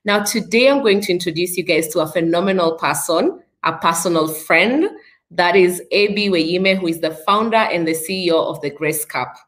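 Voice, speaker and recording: A woman's voice: fairly low pitch, normal speed. A Kenyan woman hosting a podcast interview over a video call, with upbeat, speech-like introductions. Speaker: podcast host. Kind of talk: live online interview.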